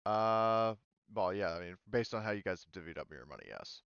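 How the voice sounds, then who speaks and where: Guy game master, clean smooth voice, kinda hesitant, dry slightly muffled audio. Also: lowish voice, sing-song, fairly quick, fairly bright tone, no echo. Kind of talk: running an online tabletop roleplaying game. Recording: slightly dull, lo-fi voice-chat audio.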